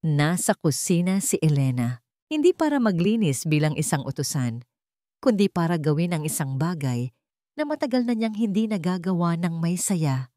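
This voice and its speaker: A woman's voice: on the low side, lively intonation, moderate pace, dark tone, no echo, studio quality. A woman with a Filipino accent reading a scripted dramatic story as narrator.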